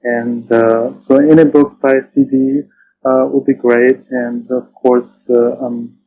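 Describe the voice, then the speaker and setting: A man being interviewed over a call, talking casually and hesitantly, often pausing mid-phrase and repeating himself. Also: medium pitch, little pitch movement, dark tone. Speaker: interview guest, poet and translator. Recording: dull lo-fi call with clear noise.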